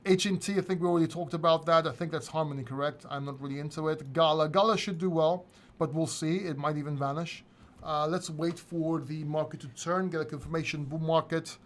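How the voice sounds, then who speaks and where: A man's voice: on the high side, slight background noise. A man from Greece hosting a casual live crypto Q&A, reading viewer questions from chat and answering them candidly, sometimes swearing. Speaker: crypto channel host.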